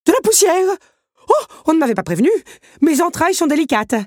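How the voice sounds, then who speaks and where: A woman's voice: very high voice, expressive, moderate pace, warm tone, dry recording, clean studio sound, pitched above the performer's own voice. A woman voicing a fussy, panicky male mantel clock in a storybook reading, fretful and stammering.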